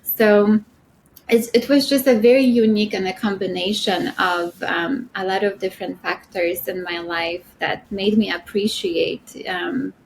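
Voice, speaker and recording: A middle-aged woman from Poland, a podcast guest speaking softly and reflectively with many fillers. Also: medium pitch, gravelly, slight background noise, low-quality recording. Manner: soft-spoken, reflective, many "you know" fillers. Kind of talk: interview conversation.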